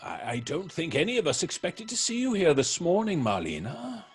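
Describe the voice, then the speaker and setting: A man acting as a worried, deferential theatre player in a horror audio drama, raspy, cautious and earnest. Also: fairly high pitch, huge pitch swings, normal speed, faint music behind, heavily acted. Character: worried, deferential male stage actor. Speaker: actor.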